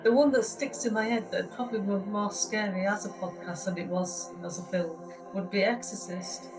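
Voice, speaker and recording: A woman talks casually and informally as a podcast co-host. Her pitch is average, and music plays clearly in the background. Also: steady tone, moderate pace, low-quality recording. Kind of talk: casual chat.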